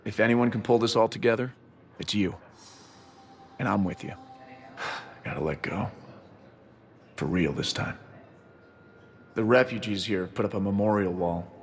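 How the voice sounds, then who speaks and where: A quiet, grieving pilot. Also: male voice, on the low side, measured pace, pauses often. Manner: quiet, reflective. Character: grieving male shuttle pilot, quiet and reflective. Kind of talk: video game voice acting.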